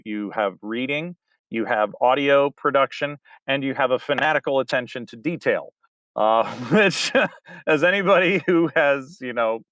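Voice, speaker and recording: A man's voice: mid-range voice, a bit bright, smooth, no echo, rough recording. A man with a West Virginian accent, a guest in a casual interview, rambling and chuckling as he talks. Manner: rambling, chuckles between thoughts. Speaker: guest, audiobook narrator. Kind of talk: casual video-call interview.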